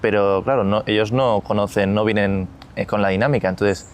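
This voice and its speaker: Rambling low-voiced male interviewer. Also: steady tone, a little fast, a little hiss or hum. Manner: rambling, stacks restarts before reaching the question. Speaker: podcast host, interviewer. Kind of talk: conversational interview questions.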